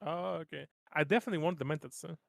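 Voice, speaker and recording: A man's voice: fairly high pitch, rapid-fire, creaky, fairly bright tone, dry recording, studio quality. A man chatting casually as a player in a tabletop roleplaying game. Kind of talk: casual chat.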